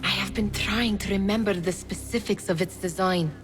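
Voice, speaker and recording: A woman's voice: mid-range voice, fairly even intonation, normal speed, close to an everyday voice. An actress playing a wry, determined young woman in a video game drama, speaking formally and with self-assurance. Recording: dramatic music behind the voice.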